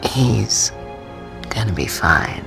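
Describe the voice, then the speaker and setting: A woman's voice: a bass voice, very animated, very slow, gravelly, heavily acted. A woman acting a confident, flirtatious thief in an adventure game, with a teasing, coy and wry manner. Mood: conspiratorial, coy. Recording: loud music behind the voice.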